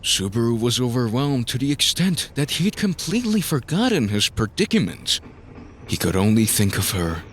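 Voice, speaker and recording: A man's voice: mid-range voice, big pitch swings, moderate pace, a little hiss or hum. A man narrating a scripted story in a dramatic style, as the narrator of an audio drama.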